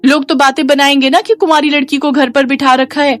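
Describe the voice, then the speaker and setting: Woman voicing a sharp, taunting sister-in-law, higher-pitched. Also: little pitch movement, a little fast, soft background music, natural voice. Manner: taunting, nagging. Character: scornful, sharp-tongued young sister-in-law, complaining.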